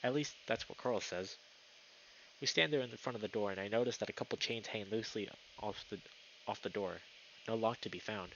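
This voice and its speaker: A man's voice: lowish voice, a little fast, fairly bright tone, highs cut off, slight background noise. A man live streaming a game, chatting casually and reading the story text aloud, sometimes tripping over words. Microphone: streaming mic with noise filtering.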